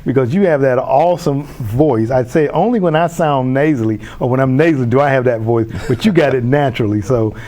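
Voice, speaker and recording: A man's voice: medium pitch, fairly quick, hardly any pauses, warm tone, slight background noise. A man hosting a community TV interview, chatty and enthusiastic, often restarting his sentences. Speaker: host.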